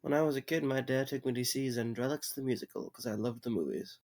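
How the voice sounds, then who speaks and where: Guy playing a grumbly sarcastic audience member, creaky mid voice, casual drama banter. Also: medium pitch, fast, darkish tone, natural voice. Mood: sardonic. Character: impatient, sardonic theatergoer. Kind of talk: conversational audio drama acting.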